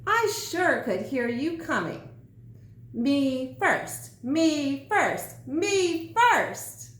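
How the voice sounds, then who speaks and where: A woman's voice: high voice, very animated, drawn-out words, fairly bright tone, heavily acted. A woman voicing a small, sly female storybook creature with a mocking cackle. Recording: roomy echo, some background noise.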